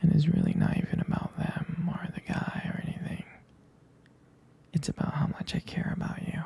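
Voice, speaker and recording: A jealous, protective boyfriend, acted out by a man in an intimate ASMR roleplay. His voice is whispered and very breathy, with heavy gravelly fry. He talks slowly and hesitantly, with tender devotion. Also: a little high, big pitch swings, mellow, heavily acted. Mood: possessive, devoted.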